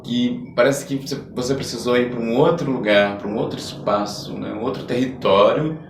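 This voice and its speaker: A man's voice: mid-range voice, fairly even intonation, moderate pace, background noise. A man with a Brazilian accent hosting a podcast interview in a casual, conversational style. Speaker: podcast host. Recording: roomy echo, distant microphone.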